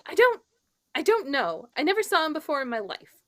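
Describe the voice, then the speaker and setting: Woman playing high, bright, indignant murder suspect. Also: very animated, brisk, full character voice. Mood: defensive, indignant. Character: flustered, defensive New York female murder suspect, indignant. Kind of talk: old-time radio mystery script reading.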